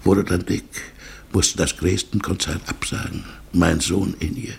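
An actor playing a brooding, mild middle-aged man in a German radio play, halting and murmuring, with a husky, creaky voice. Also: low-pitched, steady tone, slight background noise, full character voice. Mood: wistful, brooding. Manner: halting, murmuring, drifting into inward monologue.

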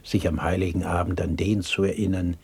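An actor playing a gentle, weary, kind-hearted father in a radio play, mild and a little hesitant, with a husky voice. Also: deep voice, little pitch movement, brisk, heavily acted. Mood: sad, reflective. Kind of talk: 1960s German radio play acting.

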